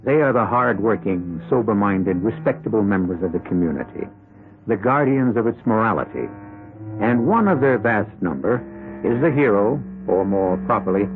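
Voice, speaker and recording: A man hosting a radio mystery drama, narrating in a measured, theatrical way with deliberate pauses. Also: medium pitch, vocal fry. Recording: tinny vintage radio broadcast, music behind. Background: dramatic music.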